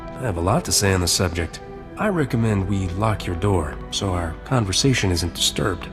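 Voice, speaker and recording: A man's voice: sits low, expressive, normal speed, over music. A man playing a suave, confident, flirtatious space smuggler in a video game cutscene. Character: cocky, romantic roguish male space smuggler.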